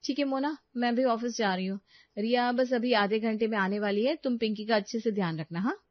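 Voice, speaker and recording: A woman plays a young, outspoken daughter-in-law in a cartoon story. Her voice is raised in pitch and frank in manner, recorded dry with a dull tone. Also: brisk, no room sound, lightly acted. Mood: brisk.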